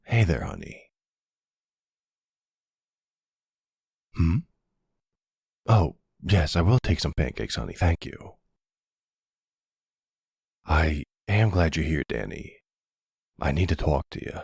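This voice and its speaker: A man playing a smug, flirtatious middle-aged mafia boss in an ASMR roleplay drama, sweet-talking and gravelly. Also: very deep voice, very animated, slow pace, long pauses, darkish tone, dry recording, clean studio sound, heavily acted. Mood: smooth, smug.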